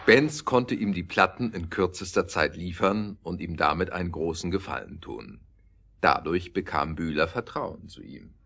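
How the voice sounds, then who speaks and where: Male narrator, very deep register, scripted storytelling for audio drama. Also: animated, normal speed, vocal fry, a bit bright.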